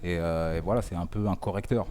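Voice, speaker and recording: A man's voice: deep voice, fairly even intonation, a little fast, a little mellow. A man giving his views in a casual discussion. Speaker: panelist.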